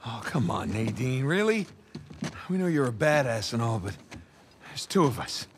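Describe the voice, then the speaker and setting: Over faint ambient noise, a man performs a thirties American adventurer from a video game. He is wry and conversational, tossing off wisecracks with an animated, mid-pitched delivery. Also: normal speed, natural voice. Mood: nervous wisecracking. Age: 30s. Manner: sardonic, conversational, casual. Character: wry, wisecracking male treasure hunter in his thirties. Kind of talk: video game cutscene acting.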